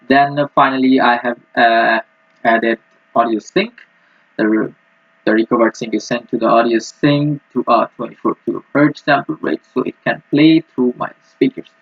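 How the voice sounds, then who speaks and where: Husky guy explaining tech stuff step by step, kinda hesitant, dull lo-fi mic. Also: medium pitch. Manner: halting, hesitant delivery. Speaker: student presenter. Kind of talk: technical screen-recording walkthrough. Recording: muffled, roomy lo-fi microphone.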